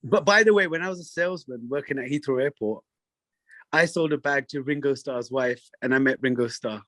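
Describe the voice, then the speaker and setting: A man, a singer chatting as a guest in an interview, animated, with lots of fillers. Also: mid-range voice, brisk, no room sound. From England. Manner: animated storyteller, laughs often, many fillers. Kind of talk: casual interview chat.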